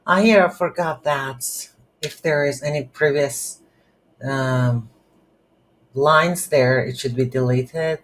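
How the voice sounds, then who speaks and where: A tutorial instructor thinking aloud in a halting, unscripted way while walking through a screen-recorded coding lesson. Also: mid-range voice, poor audio. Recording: light background noise.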